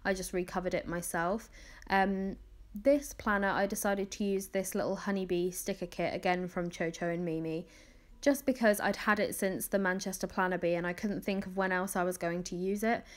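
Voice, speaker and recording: A woman's voice: medium pitch, steady tone, normal speed. A young woman in her twenties chatting casually through a planning video voice-over, rambling with many ums and small laughs, her voice crackly from early morning. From England. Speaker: planner vlogger.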